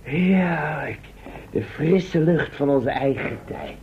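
A man's voice: fairly high pitch, slow pace, a little hiss or hum. A man playing an agreeable character in an old radio adventure, on a tinny recording. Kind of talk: vintage Dutch radio drama acting.

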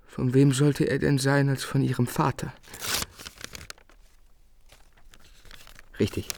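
Slow, passionate young lover. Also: male voice, fairly high pitch, vocal fry, a little mellow. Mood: brooding, bitter. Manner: declamatory, emotional, literary delivery. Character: passionate, tormented young male painter in love. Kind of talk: German radio drama acting.

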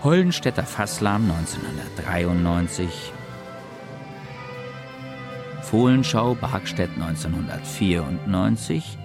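A solemn, deadpan announcer in a radio play. His voice is low and gravelly. Music plays clearly behind him. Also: takes it slowly, pauses often, exaggerated voice. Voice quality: deep, creaky. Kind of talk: scripted radio drama narration.

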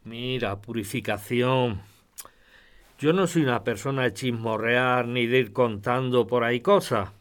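A man's voice: medium pitch, unhurried, close to an everyday voice. An actor with a Spain accent playing a proud, stubborn, grumbling old man in an audio drama. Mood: grumbling, confiding. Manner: emphatic, grumbling, scolding. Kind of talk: audio fiction podcast acting.

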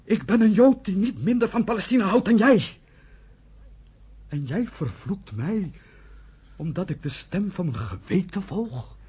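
A defiant underground fighter in a radio drama. His husky voice sits fairly high and swings widely. He declaims with bitter scorn and burning conviction. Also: big pitch swings, unhurried, long pauses, darkish tone, heavily acted. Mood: hurt. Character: bitter, defiant militant younger brother, leader of armed underground. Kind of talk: Dutch radio play acting.

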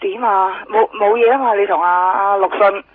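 An actress playing an evasive, distracted friend in a radio drama, hesitant, with a Hong Kong Cantonese accent. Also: female voice, mid-range voice, fairly even intonation, moderate pace, lightly acted. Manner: hesitant, stalling. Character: evasive, distracted woman friend juggling phone calls. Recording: muffled lo-fi radio broadcast with music.

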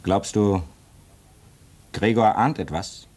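A man's voice: fairly low pitch, takes it slowly, a little mellow. An actor playing a restless, resentful man in his twenties in a 1950s German radio drama, with a clipped, insistent delivery. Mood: grave, tense. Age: 20s. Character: restless, resentful young man of twenty-five at polar station.

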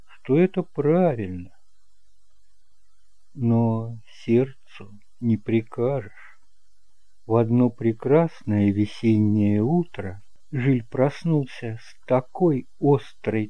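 A man narrating romantic fiction as an audiobook, telling the story in a measured, expressive way. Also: medium pitch, dry recording, narrow, tinny audio.

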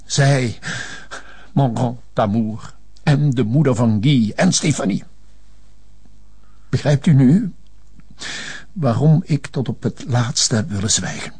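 An elderly Flemish notary in a television crime drama. He sounds husky and speaks in a halting, genteel way. He is resigned and lovelorn, given to French turns of phrase. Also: medium pitch, expressive, mellow, exaggerated voice. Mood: bitter, sorrowful. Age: older. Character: resigned, lovelorn elderly male notary confessing old secrets.

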